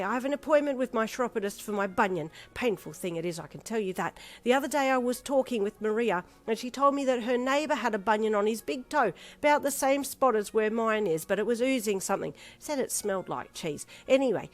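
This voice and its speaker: A woman narrating a story voices a nervous, chatty older woman who loses her thread mid-sentence. Her voice sits high and fussy, full of ums and apologies. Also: natural voice. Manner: rambling, indecisive, nervous.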